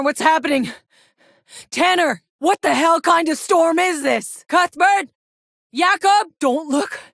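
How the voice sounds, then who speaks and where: A woman's voice: mid-range voice, no room sound. A woman voicing a tough, sardonic, foul-mouthed female soldier in a video game, with a dry, blunt delivery. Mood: frantic, shouting. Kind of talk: video game character voice lines.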